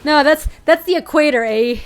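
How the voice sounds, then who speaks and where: A woman's voice: sits high, a little hiss or hum. A young woman from Ecuador, a native Spanish speaker, chatting casually as she streams a card game and answers her chat. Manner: chatty, reads chat aloud, quick reactions and laughs. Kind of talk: casual live-stream gameplay commentary.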